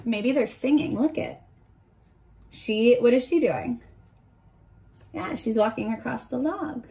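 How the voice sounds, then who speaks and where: A woman in her twenties reading aloud and teaching young children in an animated, encouraging way, pausing to ask questions, recorded at arm's length indoors. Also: fairly high pitch, vocal fry. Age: 20s. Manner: animated, encouraging, asks questions and pauses for answers. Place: small furnished bedroom, indoors.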